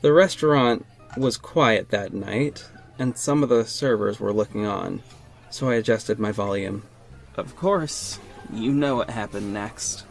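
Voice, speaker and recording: A wounded ex-lover, slow and earnest. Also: male voice, on the low side, vocal fry, soft background music. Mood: bitter, wounded. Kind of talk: audio drama acting and first-person narration.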